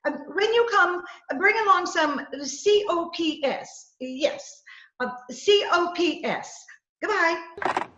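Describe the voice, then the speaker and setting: Woman playing high, breezy lady gangster. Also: expressive, slow pace, pushed and bright, full character voice. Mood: flustered, bright. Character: scheming, theatrical woman posing as a lady poisoner gangster. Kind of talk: 1940s radio comedy acting. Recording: roomy, slightly dull video-call recording.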